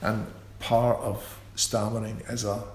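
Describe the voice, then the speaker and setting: A man's voice: on the low side, flat delivery, a little mellow. A man who stammers, talking in a radio interview with repeated sounds and restarts. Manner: slow and considered. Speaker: interview guest, musician. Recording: echoey room with faint ambient noise.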